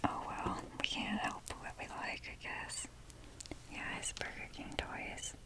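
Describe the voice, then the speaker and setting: A woman whispering in a breathy voice as she rambles casually and wryly in an informal, fannish ASMR style. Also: measured pace. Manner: informal, fannish, wry, mildly sweary. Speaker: ASMR video creator showing a toy collection.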